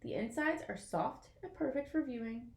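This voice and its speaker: A woman reading a children's picture book aloud in an expressive way, as if to young listeners. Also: high-pitched, normal speed, roomy sound. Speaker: storybook reader.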